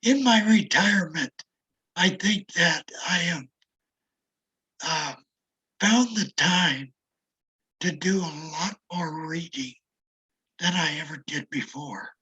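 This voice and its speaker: A retired older man talks in a raspy, strained voice that often drops to a whisper. He speaks slowly and reflectively, with a self-deprecating manner, and the tone sits bright and tight despite the damage. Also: on the high side, low-quality recording. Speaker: interview guest. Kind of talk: casual conversation.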